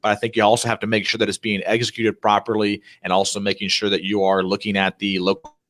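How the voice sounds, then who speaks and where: A man's voice: lowish voice, completely flat delivery, quick pace, a bit bright. A man hosting an online interview series, chatty and loose, leaning on "you know" fillers. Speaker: host. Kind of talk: casual interview.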